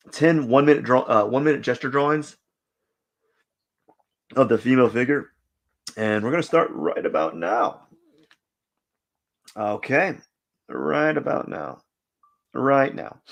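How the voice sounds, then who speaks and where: A man streaming live as he draws, talking casually in a raspy, cracky voice and rambling as he corrects himself and thinks aloud. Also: mid-range voice. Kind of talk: casual live stream chat while drawing.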